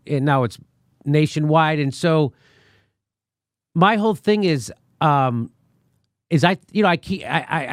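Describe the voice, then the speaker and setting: Blunt, animated middle-aged host. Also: male voice, fairly high pitch, no room sound, studio quality. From United States. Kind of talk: conversational interview.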